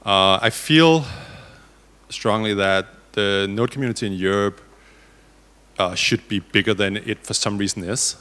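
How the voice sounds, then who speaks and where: A man's voice: fairly low pitch, measured pace, bright, forceful tone. A man from Denmark speaking informally and a little hesitantly as a developer on a panel. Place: indoor conference hall. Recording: reverberant conference hall.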